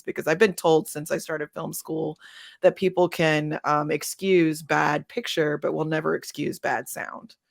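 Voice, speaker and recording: A woman's voice: sits low, vocal fry. A woman speaking casually and enthusiastically as a podcaster on a panel, peppering her talk with "like". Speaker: panelist, audio drama podcaster.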